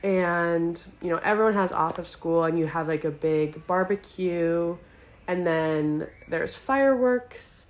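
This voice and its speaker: A young woman speaks with a soft Midwestern American accent in a slightly low register. She chats in a relaxed, easygoing way, full of fillers. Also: unhurried, muffled recording. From Wisconsin, United States. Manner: relaxed, chatty, many "like" fillers. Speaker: podcast guest. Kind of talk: casual chat.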